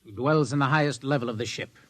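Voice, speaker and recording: A man's voice: medium pitch, quick pace, natural voice. A young man in a 1950s radio drama playing an eager cadet who asks earnest questions. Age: young adult.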